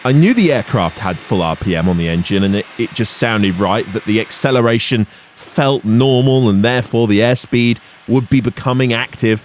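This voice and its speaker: A man's voice: lowish voice, normal speed, few pauses. A man pilot giving casual, self-critical, explanatory flight commentary and short radio calls. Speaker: pilot and vlogger. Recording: engine noise in light aircraft. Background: aircraft engine hum.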